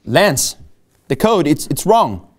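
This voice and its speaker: Man acting eager, halting young-hustler realtor. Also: a little high, sing-song, exaggerated voice. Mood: panicked. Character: eager, cocky but jittery male rookie realtor. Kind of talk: screenplay table-read acting.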